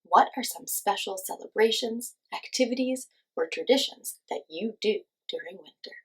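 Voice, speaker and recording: A woman teaching young children, giving simple encouraging instructions and asking questions, with pauses for answers. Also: medium pitch, lively intonation, breathy edge, poor audio. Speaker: children's class teacher and host. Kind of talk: children's lesson with guided movement.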